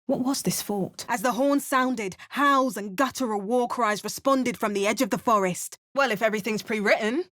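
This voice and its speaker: A woman's voice: a little high, moderate pace, few pauses, fairly bright tone, lightly acted. A young woman voicing a tough, dry-witted adventurer heroine in a fantasy video game, speaking in a wry manner. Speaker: player character voice.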